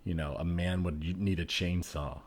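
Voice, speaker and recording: A man improvising an earnest, easygoing tattooed nature enthusiast in a comedy sketch, talking haltingly and repeating words as he searches for phrasing. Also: low-pitched, fairly even intonation. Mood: earnest, musing.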